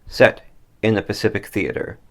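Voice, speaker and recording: A man narrating a scripted story dramatically, with solemn, deliberate emphasis. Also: lowish voice, a bit bright. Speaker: author reading own story.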